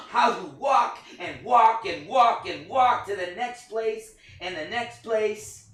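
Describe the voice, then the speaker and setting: An actor playing an anxious, tender puppet hero with a stammering, earnest delivery in a staged play reading. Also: male voice, high-pitched, bright, forceful tone, full character voice. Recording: echoey room, distant mic, faint noise.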